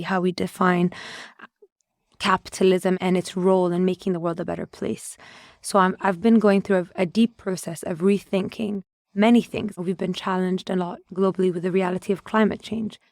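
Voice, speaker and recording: A woman's voice: fairly low pitch, darkish tone, no echo. A woman from Ethiopia, a guest on a podcast, speaking with very little rise and fall in her voice. Her recording is dry and close. She answers reflectively, often breaking off and restarting words as she searches for the right phrasing. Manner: thoughtful, with frequent restarts while searching for words. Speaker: conservation adviser, podcast guest.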